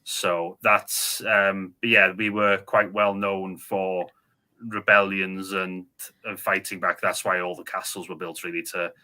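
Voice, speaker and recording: Deep bright-toned North Welsh man. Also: flat delivery. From Wales. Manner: explanatory, measured, with fillers. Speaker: podcast guest. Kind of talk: casual podcast conversation.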